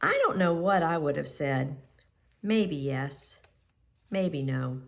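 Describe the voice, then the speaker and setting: Female picture-book narrator: lowish pitch, unhurried, expressive phrasing with pauses. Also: vocal fry. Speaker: storybook reader. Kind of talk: children's picture book read-aloud.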